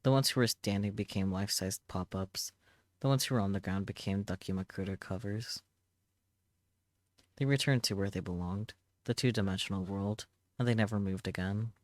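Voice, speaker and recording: A man reading a visual novel aloud as dramatic first-person narration, solemn and measured, in short halting phrases. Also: lowish voice, no echo.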